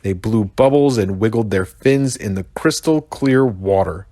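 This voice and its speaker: An American man, a pastor, reading a children's story aloud with expressive narration. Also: fairly low pitch, measured pace, dry recording, clean studio sound.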